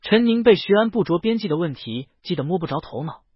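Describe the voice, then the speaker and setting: A man narrates a romance story in a fairly high, husky voice with lively swings of pitch. The recording is close and dull, as in a lo-fi audiobook. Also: fast, no room sound. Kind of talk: scripted narration of a romance novel. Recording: dull, lo-fi, close dry sound.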